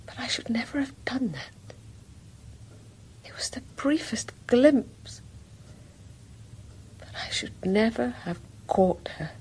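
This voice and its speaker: An actress playing an anguished, frightened young wife in a radio drama, breathy and halting, often slipping into whispers. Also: female voice, on the high side, lively intonation, darkish tone, heavily acted, rough recording. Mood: pleading, frightened. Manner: halting, fragmented, lingering delivery.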